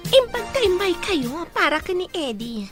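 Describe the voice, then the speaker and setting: A woman's voice: very high voice, sing-song, measured pace, over music, heavily acted. A woman playing an anxious, devoted woman who pleads emotionally in a Filipino audio drama. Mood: worried, hurt.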